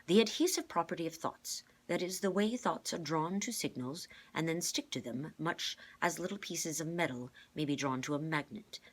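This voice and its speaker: A woman voicing a calm, clever older man in a storybook, measured and formal as he explains. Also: low voice, slightly rough. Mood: patient explaining.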